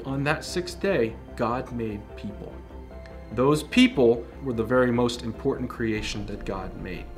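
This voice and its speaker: A young man teaching a scripted Bible lesson to children in a studio, animated and asking the kids questions. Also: mid-range voice. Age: young adult. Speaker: church children's program teacher. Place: studio with green-screen background.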